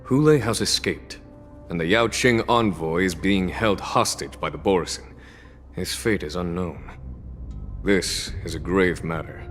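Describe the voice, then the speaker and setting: An actor playing a composed, authoritative general in a game cutscene, speaking in a measured way. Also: male voice, low voice, fairly bright tone, long reverb tail, soft background music. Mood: calm. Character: calm, composed male general in his prime.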